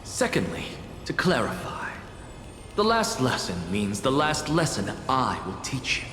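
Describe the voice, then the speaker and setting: A man's voice: medium pitch, big pitch swings, a little slow, fairly bright tone, a little hiss or hum. An actor playing an exacting, pompous male professor in a video game, speaking with withering condescension. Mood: haughty, irritated. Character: haughty, exacting male professor and renowned scholar. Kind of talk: dramatic video game voice acting. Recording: echoey long reverb with music behind.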